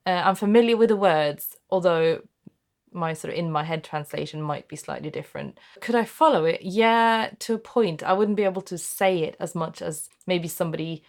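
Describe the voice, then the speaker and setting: A woman's voice: fairly low pitch, normal speed. A woman from Norway, a native Norwegian speaker, talking thoughtfully and personally in a conversation. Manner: thoughtful, personal, frequent self-corrections. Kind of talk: conversational discussion.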